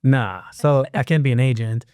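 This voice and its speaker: Israeli-accented man with a mellow voice. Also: medium pitch, normal speed, clean tone, no room sound, studio quality. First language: Hebrew. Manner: explains step by step, frequent restarts. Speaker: private real estate lender, guest. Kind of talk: casual podcast interview.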